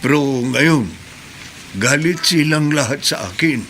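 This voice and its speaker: Brief: a slow-talking, bright-toned older man, regretful and bitter, faint music behind. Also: fairly high pitch, expressive, full character voice. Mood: somber. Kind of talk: radio drama acting.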